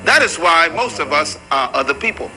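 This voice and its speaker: A man's voice: fairly high pitch, big pitch swings, moderate pace, sharp tone, low-quality recording. A man preaching a sermon to a room full of people, emphatic and rhythmic. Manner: emphatic, preaching to a live crowd. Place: large room with an audience.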